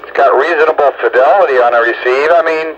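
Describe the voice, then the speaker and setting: A man's voice: on the high side, fast talker. Folksy man on a noisy two-way radio. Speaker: CB radio operator. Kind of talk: casual banter over two-way radio. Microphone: stock radio microphone. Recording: lo-fi two-way radio with loud static.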